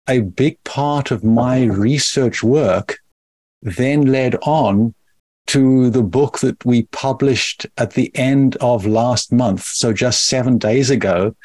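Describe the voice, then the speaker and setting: A middle-aged man from South Africa, a podcast guest who explains his path in a measured, thoughtful way. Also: medium pitch, a little mellow, dry recording. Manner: measured, thoughtful, careful phrasing. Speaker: guest, company founder. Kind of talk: podcast interview.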